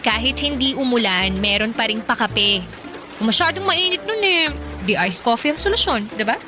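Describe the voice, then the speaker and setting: A woman's voice: a little high, lively intonation, moderate pace, lightly acted. A woman playing an earnest, stubborn, dreamy seventeen-year-old girl in a Filipino radio drama, chatty and insistent. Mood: eager, insistent. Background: music.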